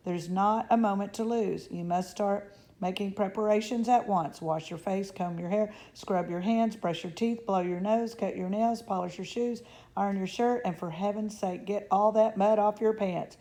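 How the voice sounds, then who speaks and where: A woman reading aloud as an excitable old grandfather, calling out urgent orders in loud exclamations. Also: medium pitch, normal speed, natural voice.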